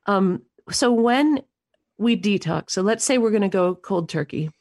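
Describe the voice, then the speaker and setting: Slow-paced woman interviewer, dry sound. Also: mid-range voice, animated, darkish tone, no room sound. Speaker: interviewer, host. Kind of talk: conversational interview questions.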